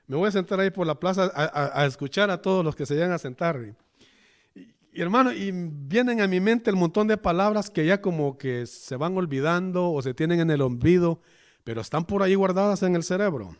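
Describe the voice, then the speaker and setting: A man's voice: high-pitched, animated, brisk. A man from El Salvador with a Salvadoran Spanish accent, preaching a chatty sermon in a church and often addressing the listeners as brothers. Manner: chatty, keeps calling the listeners "hermano". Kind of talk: conversational sermon.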